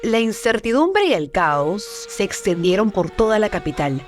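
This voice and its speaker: History documentary narrator, scripted read, soft music bed. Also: mid-range voice, sing-song, normal speed.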